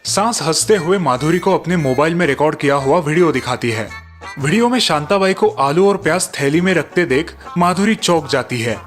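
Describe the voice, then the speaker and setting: A man's voice: on the high side, expressive, normal speed, faint music behind. A man reading scripted narration for a cartoon story.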